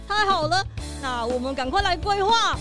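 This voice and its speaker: A woman's voice: extremely high pitch, lively intonation, moderate pace, over music. A woman playing an earnest, innocent little puppy in a children's audio drama. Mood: excited.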